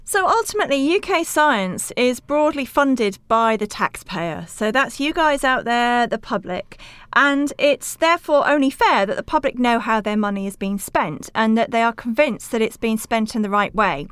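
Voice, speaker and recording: A woman from Wales speaks as an interview guest. Her voice is smooth and fairly high, and she explains in an articulate, orderly way. The recording is close and dry. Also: expressive, moderate pace, a bit bright, no room sound. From rural Wales. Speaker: interview guest, science outreach organiser.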